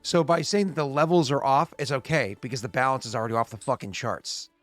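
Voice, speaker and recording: A man's voice: medium pitch, quick pace, dry recording, soft background music. A man in his late thirties, the game master, chatting and explaining rules at length with many restarts. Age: late 30s. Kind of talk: casual chat and game narration.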